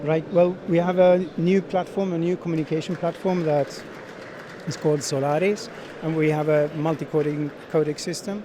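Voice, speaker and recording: A middle-aged man giving unscripted interview answers as a sales manager, speaking in halting phrases with frequent repeats. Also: a little high, steady tone. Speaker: sales manager being interviewed at a trade show. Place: busy exhibition hall. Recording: busy trade show crowd noise.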